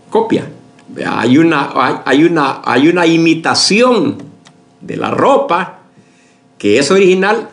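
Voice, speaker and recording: Slow-preaching pastor, lively pitch. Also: male voice, vocal fry. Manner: slow, unhurried preaching with many fillers and restarts; addresses the congregation as brothers, asks for agreement. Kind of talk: sermon.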